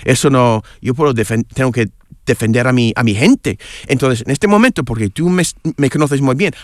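A middle-aged man whose first language is English chats in accented Spanish on a radio interview. His voice is smooth and resonant. He hesitates and restarts often, and the sound is dry and close. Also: medium pitch, no room sound. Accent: English-speaking foreigner speaking Spanish. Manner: hesitant, searching for words, many restarts. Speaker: guest, columnist.

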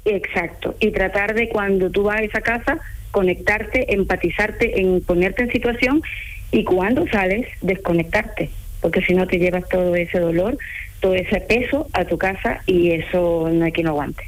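A woman's voice: medium pitch, moderate pace. A woman from Ecuador with a mild Ecuadorian accent, chatting casually as a caller on a radio show. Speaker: radio caller, home care worker. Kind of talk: casual chat. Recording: noisy telephone call to radio.

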